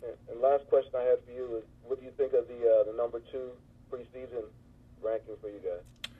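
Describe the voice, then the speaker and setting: A man's voice: mid-range voice, steady tone, a little fast, breathy edge. An American man, a newspaper reporter, asking questions on a conference call over a tinny telephone line.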